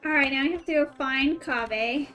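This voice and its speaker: A woman's voice: high-pitched, moderate pace, sharp tone, soft background music, poor audio. A woman giving casual, chatty gameplay commentary as a streamer, playfully reacting aloud and talking to herself. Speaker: video game streamer.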